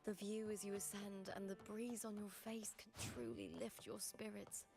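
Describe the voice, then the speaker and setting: A woman's voice: medium pitch, flat delivery, normal speed, vocal fry, over music, close to an everyday voice. An actress as a poised, confident warrior noblewoman. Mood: poised, wistful. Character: poised, confident female gladiator noble, battle-hungry. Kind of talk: video game voice acting.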